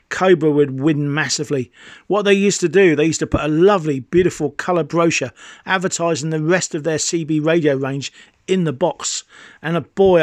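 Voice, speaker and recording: A man's voice: on the high side, no echo, clean studio sound. A man in his fifties presenting a hobby showcase in an enthusiastic, savouring, exclamatory way. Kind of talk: enthusiastic product showcase narration.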